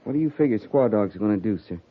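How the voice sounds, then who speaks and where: Quick-talking folksy cavalry sergeant. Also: male voice, lowish voice, expressive. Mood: alert, folksy. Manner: folksy, rough grammar, deferential. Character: plain-spoken, dutiful cavalry sergeant. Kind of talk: 1950s radio western acting. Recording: very noisy, muffled 1950s radio recording.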